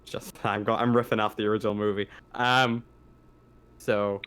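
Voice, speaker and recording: A man acting as game master, explaining a tabletop roleplaying game in a halting, stammering way. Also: fairly low pitch, little pitch movement, fairly bright tone, smooth.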